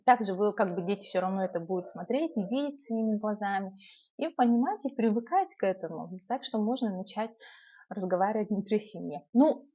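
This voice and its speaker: A woman's voice: mid-range voice, brisk, muffled recording. Chatty young Yakut woman vlogger. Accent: urban Yakut Russian. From Yakutia, Russia. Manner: chatty, lively, many filler sounds. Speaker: vlogger giving language-learning tips. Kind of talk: casual advice vlog.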